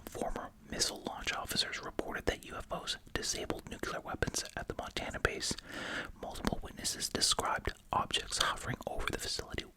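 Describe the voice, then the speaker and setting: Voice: brisk, rough recording. An ASMR creator whispering breathily while chewing gum, reading out summaries and adding casual, musing asides. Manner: casual, musing, repeats short phrases.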